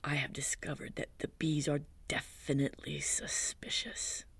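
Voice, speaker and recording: A storybook reader voicing a gentle, slow-witted toy bear who talks in a soft, breathy half-whisper, slow and thoughtful. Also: medium pitch, flat delivery, dry recording. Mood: conspiratorial whisper.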